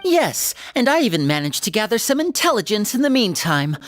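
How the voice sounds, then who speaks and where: A performer voicing a confident, charming young male stage magician in a game, smooth and playful. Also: sits high, sing-song, fairly quick, fairly bright tone. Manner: smooth, playful, self-assured.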